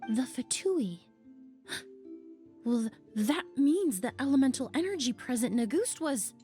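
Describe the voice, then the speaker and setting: A composed young woman investigator from a video game. Her voice is fairly high and her speech measured and exact. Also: big pitch swings, dark tone, faint music behind. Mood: shocked. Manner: measured, precise, accusing.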